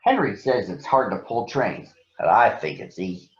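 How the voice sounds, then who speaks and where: A man voicing an eager, impatient young steam engine from a storybook, excitable and self-important. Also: fairly low pitch, normal speed, close to an everyday voice. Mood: boastful, excited. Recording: roomy echo, dull sound.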